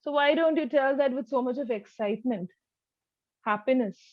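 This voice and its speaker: High-pitched woman tutoring spoken English. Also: normal speed, no room sound, dull audio. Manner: instructive, demonstrates sentences with exaggerated intonation. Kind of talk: one-to-one speaking lesson.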